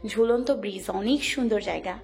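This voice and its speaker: Woman as a shy young wife, creaky voice. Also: medium pitch, brisk, darkish tone, soft background music, lightly acted. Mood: gentle, reassuring. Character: shy, gentle young wife and caring mother. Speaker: voice actor in audio story. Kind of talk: romantic audio drama acting.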